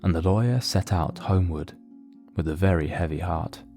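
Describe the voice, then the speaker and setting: Deep, dark-toned male story narrator. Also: soft background music. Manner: measured, solemn, literary delivery. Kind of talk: scripted dramatic narration of a mystery story.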